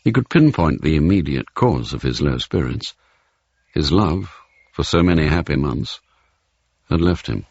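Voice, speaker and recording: A man playing the storyteller of a radio spy thriller, narrating in a grave, measured, reflective way. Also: very deep voice, animated, gravelly, darkish tone, breathy edge, muffled recording, full character voice. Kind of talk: scripted literary narration.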